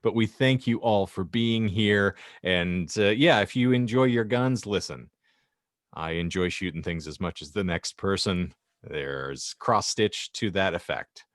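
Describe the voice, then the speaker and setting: A man's voice: low-pitched, measured pace, dry recording, studio quality. A man co-hosting a comedy podcast in casual banter, with wordy, mock-eloquent phrasing. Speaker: podcast co-host.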